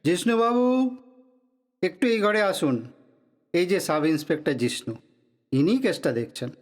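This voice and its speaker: High, lively, sardonic inspector. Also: male voice, moderate pace. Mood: probing. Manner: sarcastic, probing. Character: sardonic, wary male police inspector. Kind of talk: audio drama acting.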